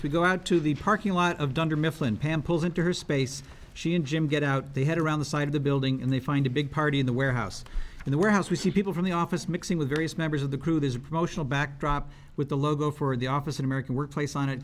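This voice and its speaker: A man's voice: fairly high pitch, fairly even intonation, quick pace. A man reading stage directions aloud at a script table read, as scripted narration.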